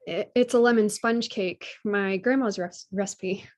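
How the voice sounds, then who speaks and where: A woman's voice: medium pitch, fairly even intonation, unhurried, darkish tone, close to an everyday voice. A woman playing a shy, polite young fiancée in a table read, with a hesitant, nervous manner. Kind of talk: virtual table read acting.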